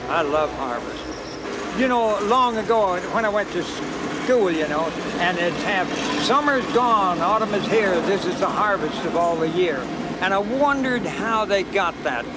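A man's voice: high-pitched, lively intonation, measured pace, heavy background noise. An elderly man, reminiscing casually in a folksy, repetitive way. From Kansas. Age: 70s. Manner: folksy, repeats himself. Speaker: farmer. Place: outdoors, near road or machinery.

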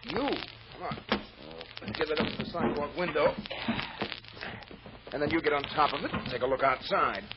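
A muffled vintage radio recording, thick with noise, carries a man acting as a commanding American lawyer. He fires off decisive orders, his pitch swinging widely as he thinks fast under threat. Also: lively intonation, natural voice. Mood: brisk, commanding. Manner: brisk, decisive, giving orders. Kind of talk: old-time American radio drama acting.

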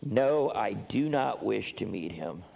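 A man's voice: fairly low pitch, lively intonation, slow pace, vocal fry, a little mellow, heavily acted. A man acting an exasperated, overworked friend with a sarcastic, emphatic way of talking in a comic drama, in a reverberant hall. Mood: frustrated, protesting. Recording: reverberant hall with background hiss.

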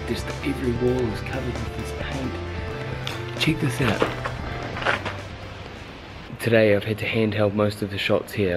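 A man's voice: lowish voice, poor audio. A man, talking casually and enthusiastically to a handheld camera as a travel photography vlogger. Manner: enthusiastic, informal. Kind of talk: casual vlog narration to camera. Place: outdoors and inside large abandoned factory buildings. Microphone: handheld camera at arm's length.

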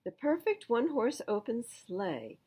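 Older woman as pompous owl, husky. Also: fairly high pitch, big pitch swings, unhurried, mellow, voice pushed up, heavily acted. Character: pompous, learned male owl.

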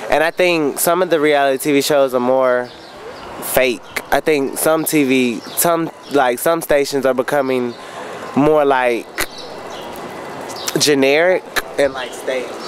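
A man's voice: mid-range voice, steady tone, measured pace, a little hiss or hum. Hesitant young man on campus. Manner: hesitant, many fillers. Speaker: student interviewee. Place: outdoor campus plaza.

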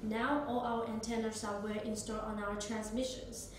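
A young woman demonstrates a product step by step, speaking in halting phrasing. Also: a little high, level tone. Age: young adult. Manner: halting non-native English phrasing. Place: small indoor office or meeting room. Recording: echoey room, distant mic, noisy background.

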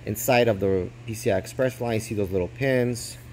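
A man's voice: on the low side, brisk, a little mellow, slight background noise. A man giving a casual hands-on tutorial, explaining step by step while filming close up on his phone at a desk. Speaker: crypto mining hardware explainer. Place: indoors at a desk. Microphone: phone held close, filming his hands.

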